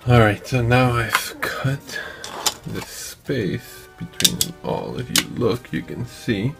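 A man hosting a craft tutorial, talking informally and haltingly as he thinks aloud while working. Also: mid-range voice, very animated, creaky, music in the background. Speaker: DIY maker and video host. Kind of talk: casual hands-on tutorial narration. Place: indoor craft workbench.

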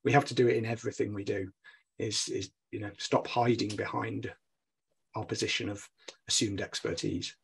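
A man's voice: on the low side, steady tone, normal speed, creaky, slightly rough. A man in his forties, an engineering lecturer, speaking earnestly and persuasively on a panel over a video call from a home study. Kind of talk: panel discussion.

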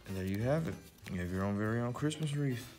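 A man's voice: medium pitch, lively intonation, fast talker, a little mellow, soft background music. A man hosting a library story time, presenting to children in the manner of a read-aloud and simple how-to.

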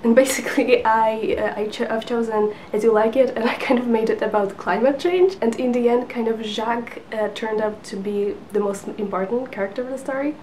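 A woman's voice: on the high side, fairly quick, few pauses, darkish tone, a little hiss or hum, low-quality recording. A woman in her twenties whose first language is Polish, chatting to the camera from her couch with lots of fillers and little laughs. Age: 20s. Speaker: book vlogger. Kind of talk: casual chat to camera. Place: living room couch with lamp, indoors. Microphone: camera in front at sitting distance.

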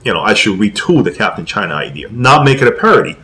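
A man from Taiwan who grew up in America, talking casually and haltingly as a comic creator being interviewed, circling back over his phrases. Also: lowish voice, sing-song, pushed and bright, poor audio. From Taiwan, moved to America at nine. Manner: rambling, halting, repeats phrases. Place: small room with posters, indoors. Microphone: desk condenser microphone with pop filter.

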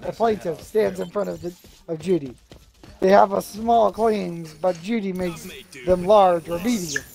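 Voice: medium pitch, unhurried, vocal fry, darkish tone, soft background music, poor audio. A person reading a comedy script aloud in a casual group setting. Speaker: script reader.

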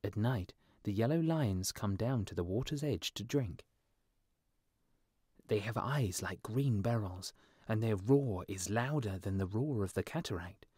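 A man voicing an eager, warm-hearted little male swallow in a storybook reading. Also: on the low side, expressive, unhurried, breathy edge, no room sound, studio quality. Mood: excited.

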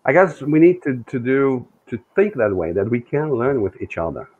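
A middle-aged man from Brazil, a native Portuguese speaker, talking hesitantly on a video call with many pauses. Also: medium pitch, sing-song, husky, phone-quality sound. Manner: hesitant, many fillers and restarts. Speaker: co-host, language learner. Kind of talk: casual video call chat. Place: room indoors.

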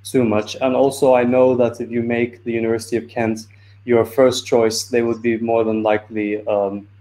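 A man's voice: medium pitch, level tone, moderate pace, mellow, rough recording. A man in his twenties from Turkey, speaking informally and a little hesitantly as a student presenter on a video call. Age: 20s. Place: indoor room on a video call. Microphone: video call microphone.

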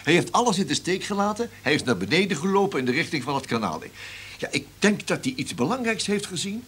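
An actor playing a deferential, defensive newsroom subordinate in a radio drama, explaining himself hesitantly. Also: male voice, fairly high pitch, sing-song, quick pace, a bit bright, a little hiss or hum. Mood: agitated.

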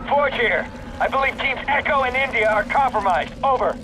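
An actor playing a marine sergeant in a video game, giving terse radio reports from the field. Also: male voice, very high-pitched, normal speed, background noise.

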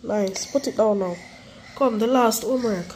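A woman's voice: fairly high pitch, moderate pace, darkish tone, slight background noise. A young woman with the flu, stuffy-voiced, patiently coaxing her child through homework at home. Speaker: mother and vlogger. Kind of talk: casual home vlog, helping a child with homework.

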